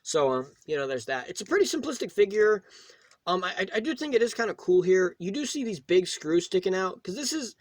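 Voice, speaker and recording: A man hosting a casual, unscripted toy review, talking in a rambling, halting way and often rephrasing himself. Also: sits high, sing-song, no echo. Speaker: toy reviewer, video host.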